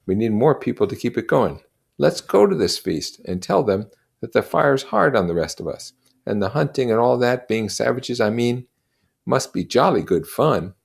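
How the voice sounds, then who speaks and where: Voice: mid-range voice, expressive, normal speed, creaky, breathy edge, pitched above the performer's own voice. A reader voicing reluctant, earnest schoolboys pleading their case in a storybook. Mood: earnest, persuasive.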